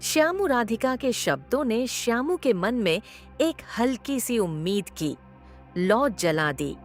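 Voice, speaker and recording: Woman, storyteller narration, expressive, wide pitch swings, slow pace, faint music.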